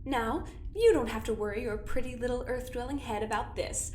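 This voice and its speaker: A woman's voice: on the high side, a little fast, a little mellow, close to an everyday voice. A woman playing a smug, condescending schemer who leaves a teasing message. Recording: echoey room with faint noise.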